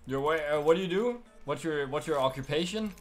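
Higher-pitched young man streaming. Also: expressive, fairly quick, soft background music. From Denmark. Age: young adult. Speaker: professional gamer and streamer. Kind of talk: casual chat while streaming a shooter game. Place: indoor room at a computer.

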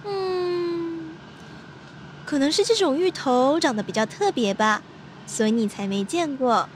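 A woman playing a chatty, bossy young country girl in an audio drama, brisk and blunt. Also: high voice, animated. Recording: faint background noise.

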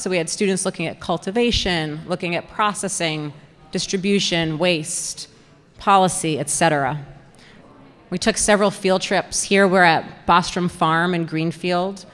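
A young woman giving a prepared, organized public presentation as a program coordinator, speaking into a microphone on a stand. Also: fairly low pitch. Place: indoor presentation room with audience. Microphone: handheld-style mic on stand. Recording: reverberant hall through PA microphone.

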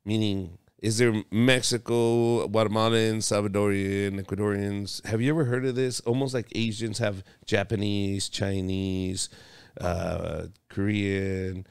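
A man with a low, gravelly voice hosts a relaxed podcast conversation. His talk is loose and profane, with plenty of "bro". The sound is close and dry, as from a studio microphone. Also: unhurried, no echo. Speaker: podcast host and comedian. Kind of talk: casual podcast chat.

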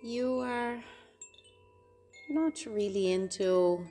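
A woman hosting a guided meditation, speaking gently and unhurriedly, with long pauses between phrases. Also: fairly high pitch. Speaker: meditation guide and podcast host. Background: meditation music with soft chimes.

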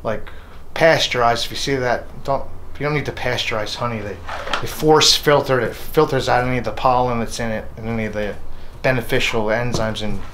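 A middle-aged man with an ordinary mid-range voice walks through a job as he does it, relaxed and plain-spoken, pausing and restarting as he thinks aloud. He is recorded on a camera mic in a wooden garage, often turned away from it. The clicks and clatter of his tools come through clearly behind him. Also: medium pitch, a little hiss or hum. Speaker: hobbyist beekeeper. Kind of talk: casual hands-on demonstration. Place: cluttered wooden garage workshop, indoors. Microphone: camera mic, speaker often turned away.

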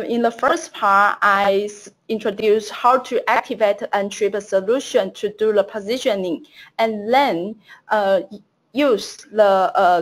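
Woman presenter, halting webinar software demo. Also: medium pitch. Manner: hesitant, many fillers and restarts.

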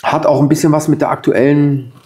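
A man's voice: fairly high pitch, flat delivery, brisk, darkish tone, slight background noise. A man from Germany in his forties, hosting a sit-down interview, asking questions with lots of hesitant fillers. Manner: reflective questions with fillers and short reactions. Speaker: interview host. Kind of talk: conversational interview. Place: room with plants at a table. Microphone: lapel mic.

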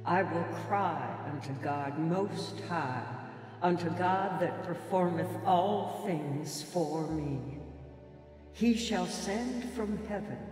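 Solemn, slow, echoing scripture reader. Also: male voice, fairly high pitch, a little mellow. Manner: solemn, measured. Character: solemn reader intoning a psalm of refuge. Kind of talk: reverent King James scripture reading in radio drama. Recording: cavernous echoing reverb over music.

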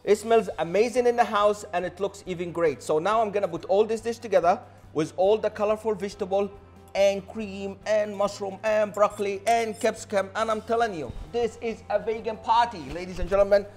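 High-voiced man, enthusiastic showman cook. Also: fairly even intonation, a little fast, faint music behind. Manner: enthusiastic, showy, addresses audience as a showman. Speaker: chef hosting a cooking show. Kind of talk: cooking demonstration to camera. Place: indoor modern studio kitchen. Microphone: lapel mic.

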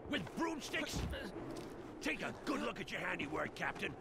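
A furious superior officer berates with sarcasm in a war game. The voice is raised and very gravelly, with vehicle noise clearly behind it. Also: male voice, sits high, big pitch swings, normal speed, exaggerated voice. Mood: outraged. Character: furious, scolding male superior army officer. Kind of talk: video game cutscene drama.

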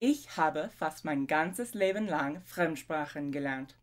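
A woman's voice: low-pitched, expressive, slow pace. Young woman, careful tutor. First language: English. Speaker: language tutor. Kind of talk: scripted self-introduction to camera. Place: home room with bookshelves.

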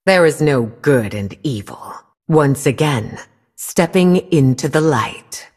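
A husky, haughty dark sorceress. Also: female voice, low voice, animated, takes it slowly, exaggerated voice. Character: regal cursed sorceress queen, proud and menacing. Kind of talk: game character voice lines.

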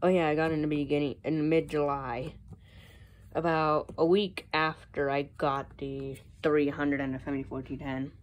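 A young American woman with a low-pitched voice talks casually through a show-and-tell. She is recorded on a handheld phone in a room at home, with faint noise behind her. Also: level tone, normal speed. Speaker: hobbyist vlogger showing a collection.

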